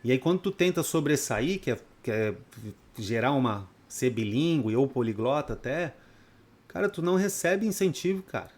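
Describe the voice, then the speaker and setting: A man's voice: mid-range voice, lively intonation, moderate pace, a little mellow. A man from Brazil, teaching a trading course in a blunt, profane, off-the-cuff lecture full of rhetorical questions. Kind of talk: unscripted lecture to students.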